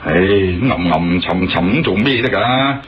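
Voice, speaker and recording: A man's voice: deep voice, animated, measured pace, a crowd in the background. A man with a Hong Kong Cantonese accent playing a matter-of-fact police officer in a radio drama. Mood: inquiring, advising. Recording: lo-fi radio sound, faint background noise.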